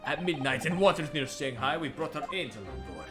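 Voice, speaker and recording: A woman's voice: sits low, very animated, a little fast. A woman voicing an earnest Russian seaman who speaks broken English with a put-on Russian accent, over game music. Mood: pleading. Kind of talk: video game dub reading. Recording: game music playing underneath.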